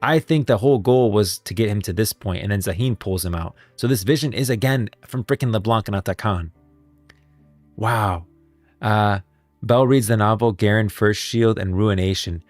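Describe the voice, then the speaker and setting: A man's voice: on the low side, moderate pace, no echo, faint music behind. A man hosting a casual livestream, talking about game lore in an enthusiastic, emphatic way. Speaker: livestream host and video creator.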